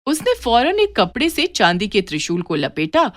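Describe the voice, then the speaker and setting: A woman's voice: a little high, wildly swooping pitch, moderate pace, dry recording. A woman narrating a scripted moral story for children as a storyteller.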